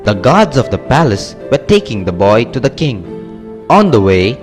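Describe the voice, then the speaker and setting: A man narrating a children's story in a clear, expressive way. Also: mid-range voice, measured pace. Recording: music under the narration.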